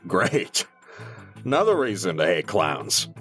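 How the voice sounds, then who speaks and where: An actor playing a cynical police detective in an audio drama. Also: male voice, deep voice, huge pitch swings, slow pace, a bit bright, faint music behind, heavily acted. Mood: sardonic.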